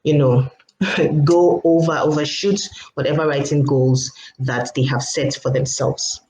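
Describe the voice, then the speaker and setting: Guest, woman from Lagos, chatty and halting, roomy call audio with a soft hiss. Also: deep voice, steady tone. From Lagos State, Nigeria. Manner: hesitant, many fillers and laughs. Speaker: guest, author and writing coach. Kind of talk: casual interview chat. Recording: echoey room, faint background noise.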